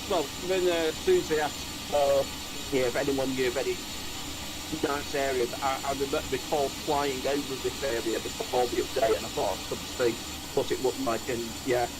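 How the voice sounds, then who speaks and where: A man's voice: mid-range voice, fairly even intonation, moderate pace, rough recording. A man on a group voice chat explaining a planned flight, thoughtful and full of ums and repeats. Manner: thoughtful, filler-heavy. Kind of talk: casual chat.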